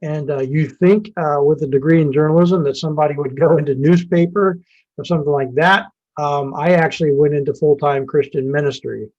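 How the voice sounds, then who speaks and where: A man's voice: on the high side, steady tone, fairly quick, a little mellow, highs cut off. A man of about sixty, a business owner telling his story in a casual, folksy interview. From Indiana and Ohio, USA.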